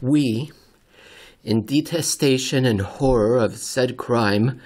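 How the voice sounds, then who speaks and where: A man hosting a podcast, reading dramatically and commenting conversationally, with deliberate, theatrical phrasing and pauses for effect. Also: mid-range voice, darkish tone. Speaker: podcast host and reader.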